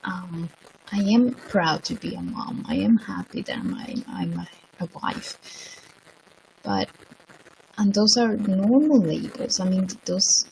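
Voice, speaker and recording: Slow, gravelly sick woman from Colombia. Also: medium pitch, warm tone, slight background noise, poor audio. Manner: slow, reflective, with many ums. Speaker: motivational speaker. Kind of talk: livestream monologue.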